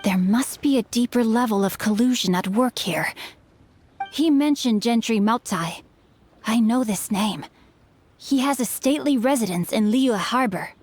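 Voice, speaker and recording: A man voicing a young male swordsman who speaks in a formal, measured, earnest way. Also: very high voice, heavily acted. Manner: formal, stiff, measured speech.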